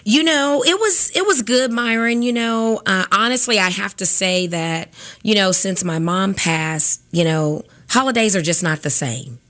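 A woman whose voice is raspy today from sinus trouble hosts a cooking segment on studio radio. She talks in an easy, chatty way, padding her sentences with little fillers. Her pitch sits in the middle range for a woman. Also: unhurried, a bit bright. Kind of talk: casual radio chat.

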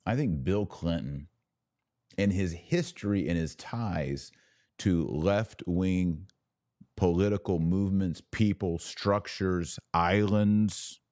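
A man with a very low-pitched voice gives conversational opinion commentary as a podcast host. He is emphatic, leaning on repetition and rhetorical questions with a wry streak. The recording is dry, with hardly any room sound. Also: slow, darkish tone, no room sound. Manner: emphatic, repeats words and asks rhetorical questions.

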